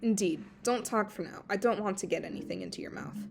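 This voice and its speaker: A woman's voice: mid-range voice, fast, gravelly, close to an everyday voice. Lady doing a playful fae guy from a fanfic, breezy n fussy, teasing, slightly noisy homemade audio. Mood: brisk, fussing. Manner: breezy, chiding, instructing. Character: playful, teasing male fae student, fussing caretaker.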